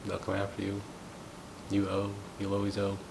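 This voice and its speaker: A man in his thirties, from the United States, talking through his thoughts in a reflective, unhurried personal monologue. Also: gravelly. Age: 30s. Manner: thoughtful, unhurried, musing aloud. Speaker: gong practitioner sharing thoughts. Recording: steady low rumbling background noise. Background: rumbling noise.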